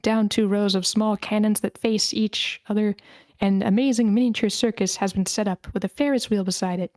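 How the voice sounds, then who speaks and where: A woman narrates stage directions from a script at a table read. Her voice sits at a medium pitch, and the recording is dry with no room sound. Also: fairly even intonation, moderate pace, darkish tone, dry recording.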